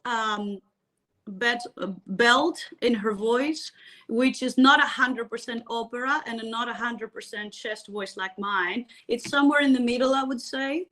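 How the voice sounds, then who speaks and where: A woman's voice: a little high, a little slow, bright, forceful tone, poor audio. A woman from Greece with a Greek accent, a metal singer chatting as a guest in a live interview, laughing often and talking with plenty of fillers. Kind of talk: casual live-stream interview.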